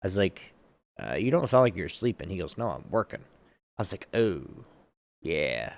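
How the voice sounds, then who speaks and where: A man's voice: low-pitched, very animated, normal speed, vocal fry, darkish tone, dry recording. A man chatting casually as a podcast co-host, rambling and blunt, full of slang. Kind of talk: casual chat. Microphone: online voice chat mic.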